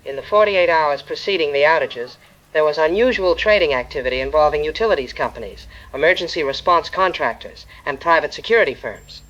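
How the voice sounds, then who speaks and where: A man's voice: fairly high pitch, lively intonation, brisk, fairly bright tone. A man playing a serious, analytical investigative journalist giving precise findings in an audio drama. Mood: serious, concerned. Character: serious investigative journalist exposing corporate profiteering. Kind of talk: formal news testimony in audio drama. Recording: muffled lo-fi sound, noisy ambience.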